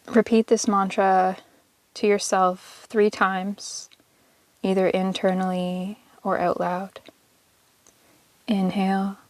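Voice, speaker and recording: A woman leading a guided yoga nidra meditation as the instructor, speaking in a calm, measured, soothing way with long pauses. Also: medium pitch, no room sound.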